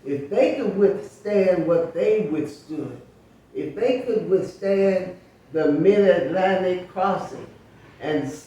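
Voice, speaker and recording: An older woman in her seventies or beyond, speaking as an interview guest in a reflective, emphatic and deliberate storytelling way. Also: fairly low pitch, warm tone. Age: 70s or older. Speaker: interview guest, sociologist and teacher. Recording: very echoey room, distant mic, faint noise.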